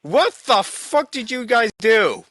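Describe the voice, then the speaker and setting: A man's voice: very high voice, sing-song, slow, fairly bright tone, no room sound, clean studio sound. A man playing a tabletop game casually, narrating his character's moves with blunt talk and free swearing.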